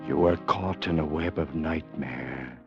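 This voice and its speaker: A man announcing a vintage radio suspense show, with an ominous, hushed, theatrical delivery. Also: very deep voice, measured pace, creaky. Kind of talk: dramatic radio show opening. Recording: dull old radio broadcast with music.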